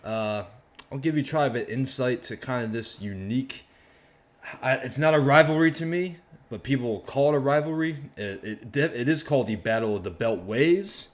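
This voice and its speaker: A man from Maryland with a very deep, worn-out hoarse voice, chatting casually and energetically as host of his own fan reaction channel. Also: normal speed. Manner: chatty, rambling, energetic asides.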